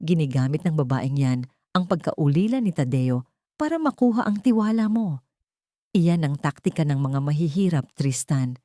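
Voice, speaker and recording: Woman voicing a haughty, spiteful older aunt, dark-toned. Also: deep voice, very animated, moderate pace, no echo, studio quality. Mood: angry, accusing. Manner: sneering, scornful.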